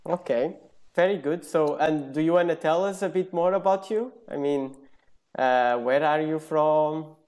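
A man with a somewhat high voice hosts a casual interview. He wanders through his questions and adds playful jokes. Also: unhurried, darkish tone. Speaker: host.

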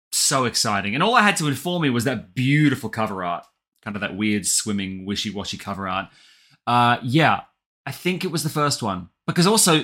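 A man's voice: mid-range voice, pushed and bright. An Australian man chatting casually as an interview guest, effusive and colloquial about his writing work. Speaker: guest, audio drama writer.

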